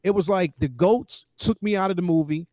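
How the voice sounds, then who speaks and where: A man with a notably high voice co-hosts a casual, opinionated talk about films. He speaks emphatically and repeats phrases to drive points home, in a dry, close-sounding recording. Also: a little fast, mellow, no echo. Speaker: co-host. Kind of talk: casual opinionated film discussion.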